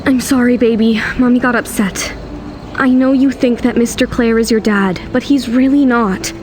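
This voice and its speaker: A woman acting as a high-voiced, protective young mother. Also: steady tone, normal speed, background noise, close to an everyday voice. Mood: apologetic, tender. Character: anxious, protective young single mother on the run. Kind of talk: dramatised audio series acting with narration.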